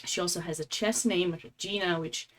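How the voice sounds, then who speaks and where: A woman's voice: on the low side, a little fast. A woman from Romania with a Romanian accent, giving casual, chatty live game commentary as a streamer, with affectionate asides.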